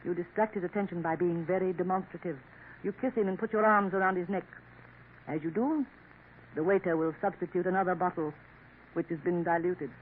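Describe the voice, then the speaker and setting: A woman's voice: fairly low pitch, fairly even intonation, brisk, close to an everyday voice. An actress in a 1950s radio drama playing a scheming, worldly Parisian café woman who coaxes and drops French endearments. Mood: sly. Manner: coaxing, knowing, sprinkles in French endearments. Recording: tinny old radio broadcast with faint hiss.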